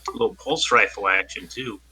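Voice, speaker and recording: Very bright-voiced man chatting over a game. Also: fairly low pitch, fairly quick, poor audio. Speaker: tabletop game player. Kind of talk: casual chat.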